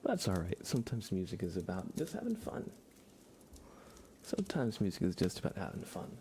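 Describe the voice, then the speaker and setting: A young man doing a casual ASMR livestream chat, relaxed and halting, softly spoken and often slipping into whispers. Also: low-pitched, noisy background. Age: young adult. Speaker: ASMR livestreamer chatting with viewers.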